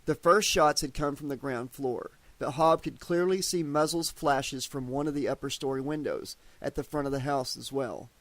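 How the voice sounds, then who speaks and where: A man narrating an audiobook with a steady storytelling delivery. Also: mid-range voice, normal speed, hardly any pauses, no room sound. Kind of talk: scripted narration.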